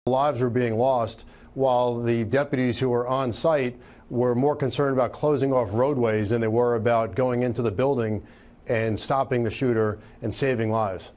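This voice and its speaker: A man's voice: mid-range voice, steady tone, moderate pace, a little mellow. A man speaking as a parent in a recorded news soundbite.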